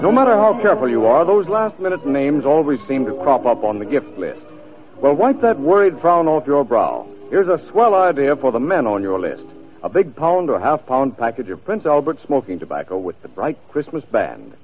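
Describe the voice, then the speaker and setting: A man's voice: medium pitch, sing-song, normal speed, faint music behind. A man reading a 1940s radio advertisement as a commercial announcer. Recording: tinny vintage radio broadcast.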